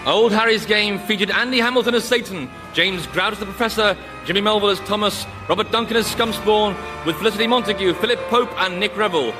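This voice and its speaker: Guy announcer reading end credits, high voice, music playing behind. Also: quick pace. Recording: voice over prominent music.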